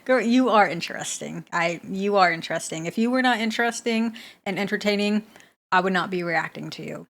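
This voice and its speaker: A woman's voice: mid-range voice, brisk, gravelly, a bit bright. Chatty woman hosting a reaction video. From Bay Area, California. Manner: chatty, laughs often, talks back to the clip. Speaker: reaction channel host. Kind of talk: casual reaction commentary.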